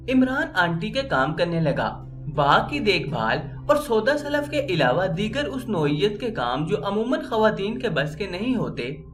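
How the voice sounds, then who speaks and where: A man narrates a scripted story in a notably high voice. His intonation is very animated, moving freely up and down as he reads. Music plays behind his narration. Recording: music under the voice. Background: background music.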